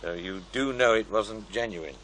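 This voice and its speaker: An actor playing a sceptical programme-maker in a radio drama, asking probing questions in a dry, blunt manner. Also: male voice, on the low side, moderate pace, fairly bright tone, slight background noise, natural voice. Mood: pressing, doubtful. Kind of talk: naturalistic radio drama acting.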